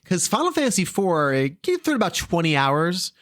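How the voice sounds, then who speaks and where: Upbeat podcast host, quick laughter. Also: male voice, high-pitched, sing-song, a bit bright, dry recording, clean studio sound. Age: around 40. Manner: laughs often, enthusiastic reactions. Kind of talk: casual chat and show introductions.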